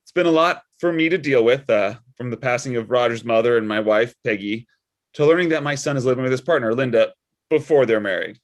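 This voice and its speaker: A man playing an awkward, earnest widowed father and church deacon in a comedy script read, speaking haltingly. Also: mid-range voice, natural voice. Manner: hesitant, halting.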